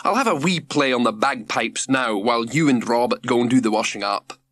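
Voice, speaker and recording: A man voicing a homely, brisk and kindly woman in an audiobook. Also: mid-range voice, fairly bright tone, no echo, clean studio sound, lightly acted.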